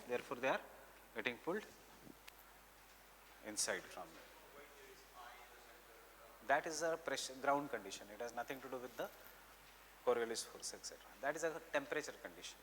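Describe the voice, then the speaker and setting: A man's voice: medium pitch, racing, pauses often, sharp tone. A man with an Indian accent lecturing as a teacher, switching between Hindi and English, emphatically repeating key phrases and checking understanding with short questions. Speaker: teacher, exam coaching lecturer. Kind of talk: classroom lecture on geography. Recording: roomy long reverb, background noise.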